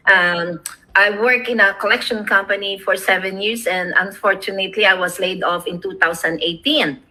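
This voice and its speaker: A woman's voice: medium pitch, steady tone, normal speed, sharp tone, rough recording. A middle-aged woman with a Filipino accent, chatting casually on a video call and rambling with many fillers about her online business. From Philippines. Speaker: online business owner, co-host.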